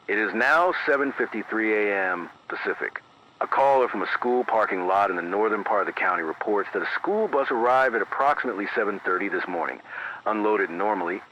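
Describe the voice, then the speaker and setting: A man playing a grave, measured emergency radio announcer, reading scripted horror bulletins in a formal, deliberate, careful manner. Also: lowish voice, sharp tone, low-quality recording. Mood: grave, uneasy restraint. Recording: band-limited radio sound with hiss.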